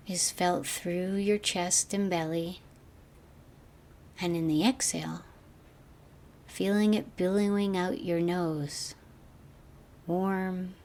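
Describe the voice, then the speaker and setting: A woman guiding a meditation as a teacher, speaking gently in slow, measured phrases that trail off softly. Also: lowish voice. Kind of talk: guided meditation narration.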